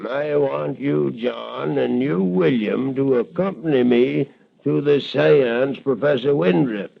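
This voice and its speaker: A man's voice: mid-range voice, takes it slowly, creaky, heavily acted. An actor playing a grieving, credulous aging banker father in a 1940s radio drama, solemn and wistful. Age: older. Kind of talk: 1940s radio adventure acting. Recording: muffled, band-limited vintage radio recording.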